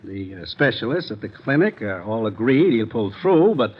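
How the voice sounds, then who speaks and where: Casting: an authoritative American commissioner, serious and businesslike, 1950s radio drama, muffled old broadcast sound. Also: male voice, fairly low pitch, sing-song, normal speed, slightly rough. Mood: grave, explanatory. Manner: earnest, authoritative, urgent briefing. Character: grave, businesslike male American intelligence commissioner. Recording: muffled vintage radio recording.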